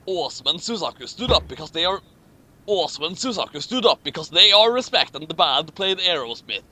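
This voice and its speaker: Animated high-pitched man reading comically. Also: a little fast. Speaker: commentary show host reading a fan fiction aloud. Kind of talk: comic dramatic reading with casual commentary.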